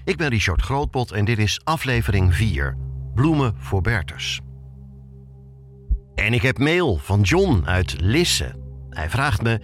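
A man hosting a podcast, narrating from a script in a conversational way and addressing the listener directly. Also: mid-range voice. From Netherlands. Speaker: podcast host.

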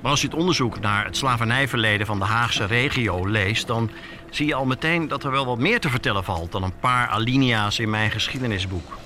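A man's voice: mid-range voice, brisk, pushed and bright, soft background music. A man with a Netherlands Dutch accent narrating a scripted podcast as its host, telling it personally and posing rhetorical questions.

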